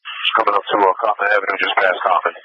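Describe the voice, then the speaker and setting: An American man giving piecemeal location updates as a police air observer over radio, pausing between phrases. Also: on the low side, flat delivery, husky, no room sound. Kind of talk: live radio pursuit updates. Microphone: radio transmission. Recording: muffled police scanner radio audio.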